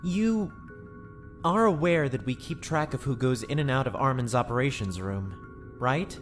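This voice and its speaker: A woman plays a conflicted male administrator aboard a spaceship in a sci-fi drama. The voice sits low and moves widely in pitch, earnest and halting, over faint music. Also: lively intonation. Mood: firm, uneasy. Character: weary male ship administrator, conflicted, apologetic bureaucrat. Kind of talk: sci-fi audio drama acting.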